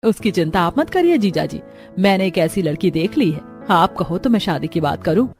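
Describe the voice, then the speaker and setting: A woman's voice: fairly low pitch, sing-song, normal speed, darkish tone, soft background music. A woman voicing a shrewd matchmaking aunt in a composed, persuasive manner. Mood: confident.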